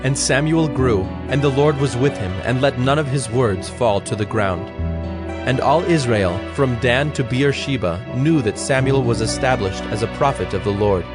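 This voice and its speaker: A man narrating scripture from a script in a measured, formal storytelling manner. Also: medium pitch, music in the background.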